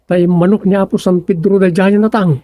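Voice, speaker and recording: A man's voice: sits high, steady tone, normal speed, mellow, no room sound, natural voice. An actor playing an earnest younger man in a comedic radio drama, explaining things insistently to his old father. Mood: matter-of-fact.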